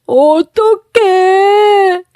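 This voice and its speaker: A woman voicing an excitable, soft-hearted male dwarf in a storybook, exclaiming with emotion. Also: very high voice, very slow, no room sound, studio quality, pitched above the performer's own voice, heavily acted. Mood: tearful worry. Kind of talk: storybook character voice.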